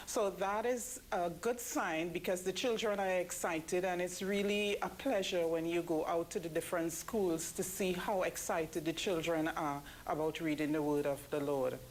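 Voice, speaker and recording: A woman's voice: fairly low pitch, steady tone, moderate pace, hardly any pauses, poor audio. A woman giving explanatory answers as an interview guest. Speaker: interview guest, church committee member. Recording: clear background noise.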